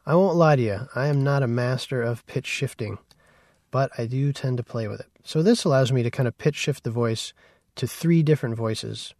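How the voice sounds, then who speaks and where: A man giving a casual, conversational software tutorial as an instructor. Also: medium pitch, moderate pace, no echo, clean studio sound. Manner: informal, conversational.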